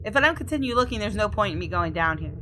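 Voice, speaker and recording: A woman's voice: medium pitch, lively intonation, quick pace, bright, forceful tone, a little hiss or hum. A woman gaming YouTuber reading a visual novel aloud expressively and adding casual commentary.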